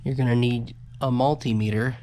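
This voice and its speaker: A man's voice: medium pitch, fairly even intonation, normal speed, dark tone, slight background noise. A man giving a casual hands-on electronics tutorial, informal and muttering the odd aside as he works. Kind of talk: casual hands-on how-to narration.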